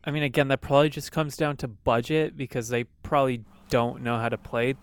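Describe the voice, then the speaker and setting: A man's voice: mid-range voice, flat delivery, brisk, clean tone. A man co-hosting a casual album review podcast, speaking bluntly, swearing casually and laughing easily. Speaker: podcast co-host.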